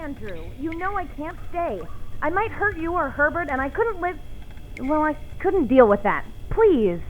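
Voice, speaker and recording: A woman playing an anguished, halting, pleading woman in an audio drama, afraid she will hurt others. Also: high voice, sing-song, muffled recording, very noisy.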